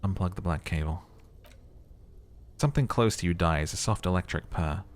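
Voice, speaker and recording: A man reading as a moody, literary second-person game narrator in a measured, dramatic manner. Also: low-pitched, a little hiss or hum, lightly acted. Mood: wistful.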